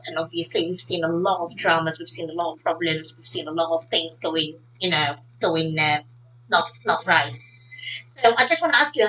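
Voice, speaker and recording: Middle-aged woman host on a video call, gushing and repetitive, bright forceful voice. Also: medium pitch, rough recording. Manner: effusive, repeats phrases, enthusiastic. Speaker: interview host. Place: indoor home room. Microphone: video call webcam.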